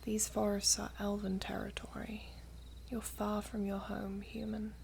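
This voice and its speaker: Woman acting as elven princess, breathy whisper, low-leaning fry, wistful, formal phrasing, ASMR roleplay, faint noise behind. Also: monotone. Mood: guarded, wistful. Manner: slow, hushed, formal storybook phrasing. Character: gentle, wistful elven princess, guarded yet tender. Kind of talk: ASMR roleplay, soft whispery close speech.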